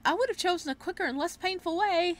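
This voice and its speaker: A woman's voice: very high voice, fast talker, pitched above the performer's own voice. A woman voicing a blunt, caring, exasperated university student in a visual novel.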